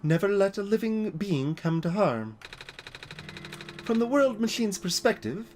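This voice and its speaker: A man voicing a small, gentle robot fox from a video game, wistful and knowing, in soft, measured explanations. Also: high voice, sing-song, a little hiss or hum. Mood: grave, explaining. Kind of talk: video game character dialogue read aloud. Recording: music behind the voice.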